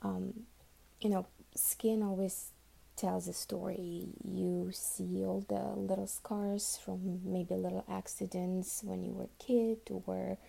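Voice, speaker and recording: Very gravelly, dark-voiced woman. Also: mid-range voice, level tone, slow, low-quality recording. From Uzbekistan. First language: Russian. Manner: soft and half-whispered, many ums and restarts. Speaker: nurse introducing herself to classmates. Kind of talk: unscripted self-introduction.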